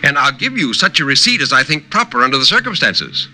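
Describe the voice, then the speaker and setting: A man voicing a poor, excitable but cautious newspaper reporter, flustered, questioning and prone to stammering. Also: medium pitch, fast talker, soft background music, pitched above the performer's own voice. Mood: wary.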